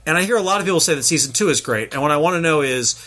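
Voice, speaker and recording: A man's voice: fairly high pitch, lively intonation, racing, bright, forceful tone. A man hosting a casual podcast chat, rambling through a show review with lots of fillers and restarts.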